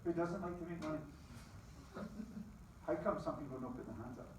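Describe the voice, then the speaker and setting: A man's voice: a little high, fairly quick, background noise. Scottish man in an echoey hall. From Scotland. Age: middle-aged. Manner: lively, quizzes the crowd, folksy asides. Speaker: business opportunity presenter. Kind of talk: motivational talk to a live audience. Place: presentation room with an audience. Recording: very echoey room, distant mic.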